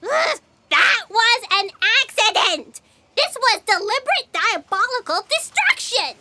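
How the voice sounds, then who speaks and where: Woman playing a very high-pitched frantic writer. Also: very animated, a little slow, background noise, full character voice. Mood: flustered. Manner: whiny, agitated. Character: Fran, frantic high-strung female writer of destiny. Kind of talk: sci-fi comedy audio drama acting.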